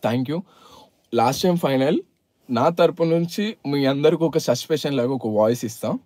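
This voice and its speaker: A man's voice: medium pitch, moderate pace, darkish tone, dry recording. A man chatting casually to a group of friends. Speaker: travel vlogger.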